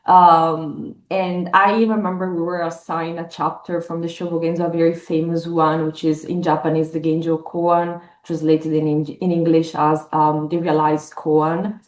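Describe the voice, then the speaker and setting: Scholar guest: Italian-accented woman in her thirties, low-pitched, reflective, recorded in a reverberant room. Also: flat delivery, moderate pace, few pauses. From northern Italy. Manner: thoughtful, many fillers, warm laughs. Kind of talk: academic interview.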